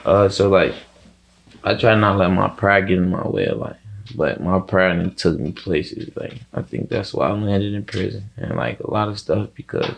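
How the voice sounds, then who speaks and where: Young man, Chicago accent, deep voice, heavy vocal fry, casual interview talk, rambling, slangy, swearing. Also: animated, moderate pace, low-quality recording. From Chicago, USA. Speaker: rapper being interviewed.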